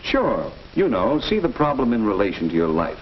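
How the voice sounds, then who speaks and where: An American man playing a kindly, patient family doctor in a 1940s instructional film, lecturing in a measured, deliberate way. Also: lowish voice, big pitch swings. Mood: encouraging, instructive. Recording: muffled, hissy 1940s film soundtrack.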